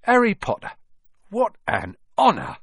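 A man's voice: mid-range voice, wildly swooping pitch, slow, breathy edge, no echo, heavily acted. A man voicing an old bald innkeeper, breathless and quavering with awe. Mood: awestruck.

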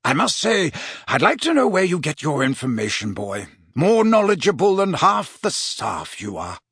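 A man's voice: a little high, huge pitch swings, normal speed, fairly bright tone, breathy edge, no echo, clean studio sound, pitched above the performer's own voice, exaggerated voice. A man voicing a smug, indulgent middle-aged teacher with a pompous, teasing manner in a storybook. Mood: jovial, teasing.

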